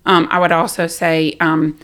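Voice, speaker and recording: A talkative woman with a Southern US accent, speaking candidly and loudly as an interview guest. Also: low voice, level tone, normal speed. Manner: loud, talkative, self-deprecating. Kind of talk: candid personal conversation.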